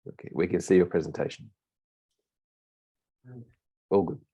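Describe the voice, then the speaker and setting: A man's voice: low-pitched, steady tone, normal speed, warm tone, breathy edge, lo-fi sound. A man chairing an online summit session over a video call, brief and businesslike as he hands over to speakers.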